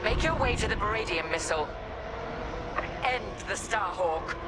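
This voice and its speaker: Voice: medium pitch, expressive, moderate pace, heavy background noise, natural voice. An actor playing a fierce, haughty Imperial squadron officer in a video game, speaking with stern, contemptuous command. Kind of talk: video game voice acting, combat radio chatter.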